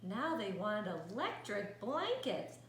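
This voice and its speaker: A woman reads a children's story at a slow pace with a very expressive, swooping delivery. She exclaims and stops to explain things to little listeners, in a roomy, echoing space. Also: medium pitch. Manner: gasps, exclaims and explains words to young listeners. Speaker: storyteller reading a picture book. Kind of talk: animated children's read-aloud with asides. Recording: roomy echo, faint background noise.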